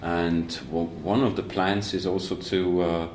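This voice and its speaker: A man with a very deep, low voice talks in a casual, halting way, full of fillers and restarts and the odd joke. The sound is roomy, with faint ambience of a coffee room behind him. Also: little pitch movement, darkish tone, a little hiss or hum. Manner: hesitant, many fillers and restarts, joking. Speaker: band member and guest. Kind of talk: casual interview chat. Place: university student coffee room.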